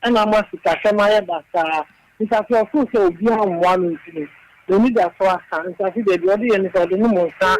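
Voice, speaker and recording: A man's voice: sits high, a little fast, poor audio. A man with a Ghanaian accent calling a radio show, earnestly telling a story. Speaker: caller. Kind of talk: radio phone-in call.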